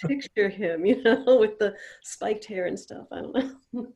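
Woman with medium pitch, casual. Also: a little slow, warm tone. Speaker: participant giving reactions to a play reading. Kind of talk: casual chat.

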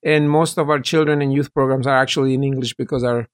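A man's voice: medium pitch, fairly even intonation, quick pace. A middle-aged man from El Salvador, a pastor, explaining his work in detail and earnestly as a guest on an interview podcast.

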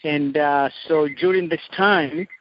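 A man from Zimbabwe, a missionary guest, telling his testimony in a slow, measured way with frequent pauses. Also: on the high side, fairly even intonation, background noise. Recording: dull, dry audio.

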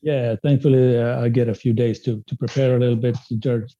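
A man's voice: medium pitch, flat delivery, brisk, mellow, lo-fi sound. A man whose first language is Spanish, raised in Sweden, answering interview questions in a hesitant way with many restarts and fillers. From Spanish-speaking country, raised in Sweden. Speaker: guest. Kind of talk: casual interview.

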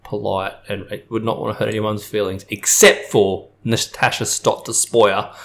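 A man's voice: on the low side, flat delivery, moderate pace, creaky, slight background noise. A man co-hosting a film podcast, ranting bluntly and swearing in casual chat. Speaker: podcast co-host.

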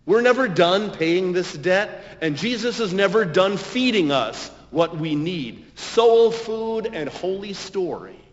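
Male preacher in a long-echoing space, animated pitch. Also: slow, few pauses, a little hiss or hum. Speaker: pastor and preacher. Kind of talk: homily. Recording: dull, muffled sound with long reverberation.

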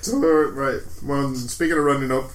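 A man's voice: mid-range voice, steady tone, moderate pace. A man co-hosting a casual podcast, dry and steering the talk along. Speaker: podcast co-host.

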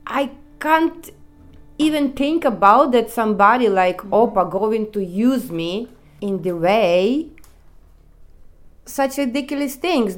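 A woman from Ukraine with a Ukrainian accent, telling her own story in halting, broken English with many pauses. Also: mid-range voice. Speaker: interviewee. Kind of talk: personal testimony in non-native English.

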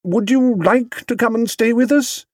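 A man voicing a proper, easily embarrassed husband in a children's storybook, halting and doubtful. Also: very high voice, darkish tone, dry recording, studio quality, pitched above the performer's own voice. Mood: awkwardly kind.

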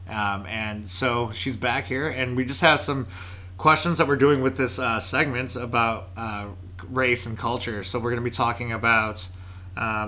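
A man's voice: mid-range voice, pushed and bright. A man hosting a podcast, asking questions in a laid-back, joking way with many fillers. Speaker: podcast host. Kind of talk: casual interview conversation.

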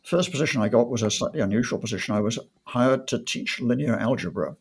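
An older man from North Wales, answering interview questions in a measured way with frequent ums and restarts. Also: medium pitch, slightly rough. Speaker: guest economist. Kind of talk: conversational interview.

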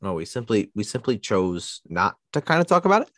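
A man's voice: on the low side, big pitch swings, fairly quick, dry recording. A man co-hosting a podcast, congested and dealing with laryngitis, rambling with many fillers, sitting close to his mic. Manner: rambling, lots of fillers and restarts. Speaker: podcast co-host. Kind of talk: casual podcast chat.

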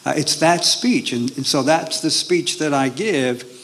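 A man who performs a one-man history show, speaking in an interview with halting phrasing and frequent fillers. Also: a little high, fairly bright tone. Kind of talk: casual interview talk.

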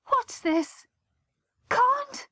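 A woman's voice: a little high, sing-song, normal speed, no room sound. A woman voicing a scandalised middle-aged mother in an audiobook, reproachful and full of indignant questions. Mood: dismayed.

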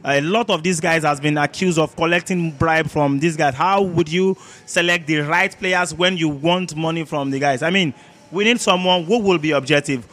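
Male sports panelist, Nigerian accent, fairly high pitch, emphatic and argumentative debater. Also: normal speed, fairly bright tone. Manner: argumentative, emphatic, repeats words, rhetorical questions.

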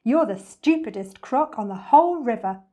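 A woman's voice: fairly high pitch, lively intonation, moderate pace, mellow, pitched above the performer's own voice. A woman voicing a smaller crocodile in a storybook, blunt, contrary and scolding. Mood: scornful.

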